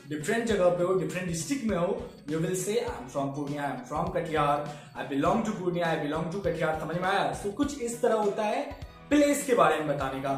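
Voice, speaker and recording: Man, high voice, very echoey room, classroom teacher, checks understanding. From Bihar, India. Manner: mixes Hindi and English, asks "samajh mein?". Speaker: English teacher. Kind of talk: classroom lesson.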